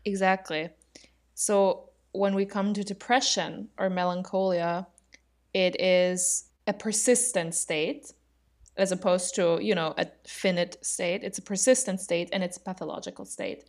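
A woman's voice: fairly low pitch, unhurried. A young woman with an Austrian accent, co-hosting a podcast and explaining ideas steadily in casual conversation, thinking aloud as she goes. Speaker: podcast co-host.

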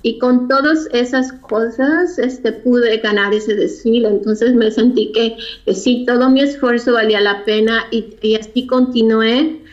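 A woman from Mexico with a Mexican Spanish accent, telling her personal story as an interview guest in a halting, reflective way, often searching for words. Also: fairly high pitch, steady tone. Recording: dull lo-fi recording.

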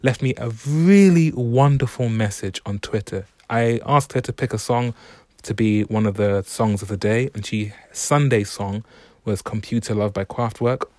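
A young man hosting a solo podcast, talking in a relaxed, reflective, unhurried way. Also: fairly low pitch, dry recording. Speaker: podcast host. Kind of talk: casual solo podcast monologue.

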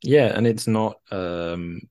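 A man's voice: lowish voice, takes it slowly, a little mellow, clear, resonant tone. A man hosting a podcast, discussing books casually and reflectively, feeling for his words in an informal way. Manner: hesitant, reflective, searching for words. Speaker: podcast host.

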